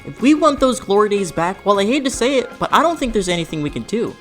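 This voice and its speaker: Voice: medium pitch, sing-song, quick pace, a bit bright, faint music behind. A young adult narrating a scripted video essay in an earnest, emphatic way.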